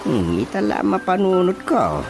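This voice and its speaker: A man's voice: high-pitched, wildly swooping pitch, unhurried, a little mellow, faint music behind, full character voice. A man acting an older father figure in a radio drama, with an Ilocano accent, weighing up village politics. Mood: thoughtful, doubtful.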